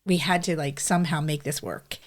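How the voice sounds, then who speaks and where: A woman's voice: low-pitched, steady tone, a little fast, slight background noise. A middle-aged woman chatting casually as a podcast co-host. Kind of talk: casual conversational chat.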